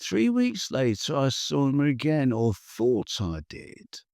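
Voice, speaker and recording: A man reading his own literary prose for a podcast, measured and deliberate, leaving long pauses between phrases. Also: medium pitch, sing-song, creaky, a little mellow, no echo, clean studio sound.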